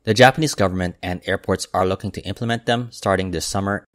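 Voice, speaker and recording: A man with a deep, low voice reads aviation news in a steady, measured way. The recording is dry and close, with no room sound around him. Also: dry recording. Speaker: aviation news channel host. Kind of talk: scripted news narration. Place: voice-over, not recorded in the pictured airport.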